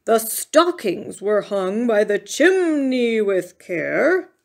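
A stern, gruff bear from a children's storybook, acted out by a woman. He declaims slowly and pompously, with grand swings in pitch and a formal, grumbling manner. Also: very animated, full character voice. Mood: declaiming. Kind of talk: children's storybook character reading.

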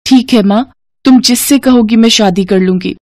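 A woman's voice: fairly high pitch, moderate pace, a little mellow, no room sound, clean studio sound, close to an everyday voice. A woman voicing a poor, dutiful young woman in a cartoon story, plaintive and earnest. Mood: dutiful, worried.

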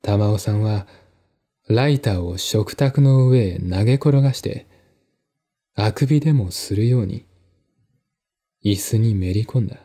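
Slow, mellow male mystery narrator. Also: fairly low pitch, slightly rough. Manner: measured, deliberate pauses. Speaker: audiobook narrator. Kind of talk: dramatic scripted narration of a mystery novel. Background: faint music.

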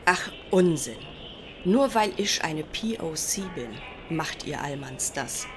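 A woman's voice: deep voice, animated, slow, faint music behind. A woman playing a combative, self-righteous female traveller who is easily outraged, in a parody radio play. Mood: indignant.

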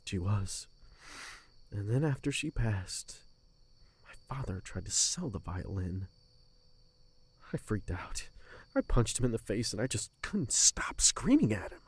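An actor playing a heartbroken, bitter young servant in an audio drama, moving between hushed hurt and emotional outburst. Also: male voice, mid-range voice, husky.

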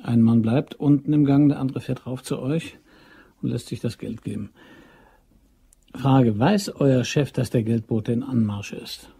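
Shifty, mellow-voiced male robbery plotter. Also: mid-range voice, measured pace, vocal fry, slightly rough, heavily acted. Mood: probing, terse. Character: shifty man plotting a robbery, evasive, smooth-talking. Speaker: actor. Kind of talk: German crime radio play acting.

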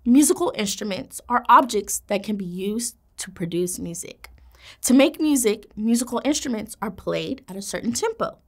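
A woman in her thirties, a teacher presenting a kids' educational video with an animated, encouraging manner. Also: mid-range voice, moderate pace. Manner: animated, encouraging, gestures while speaking. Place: indoor classroom studio set.

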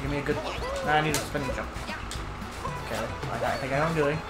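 A woman's voice: rumbling low, steady tone, fast talker. A young woman joking around and commenting while she plays a video game with a friend, in a playful, jokey manner with sudden outbursts. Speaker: co-host of a gaming let's play. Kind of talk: casual chat while playing. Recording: roomy echo with loud game music.

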